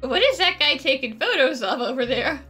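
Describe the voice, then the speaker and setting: A woman's voice: high voice, big pitch swings, normal speed, sharp tone, faint music behind. A woman giving casual commentary on a game playthrough, with joking, sarcastic asides. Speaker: gaming streamer.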